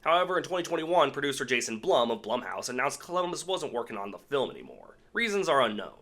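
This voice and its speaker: A man's voice: medium pitch, sing-song, fast talker, a bit bright. A man delivering scripted countdown commentary as a video host, with a sarcastic, irreverent, casually profane manner.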